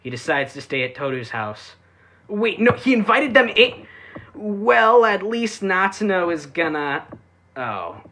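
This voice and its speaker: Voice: mid-range voice, very animated, measured pace, bright, forceful tone. A reviewer narrating a scripted but casual video review, with sarcastic asides and dry humour.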